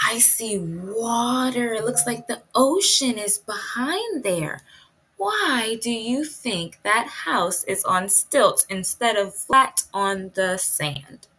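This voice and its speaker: Female preschool teacher, higher-pitched voice, unhurried read-aloud delivery, asks children questions and explains simply. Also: a bit bright, slightly rough. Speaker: pre-kindergarten teacher.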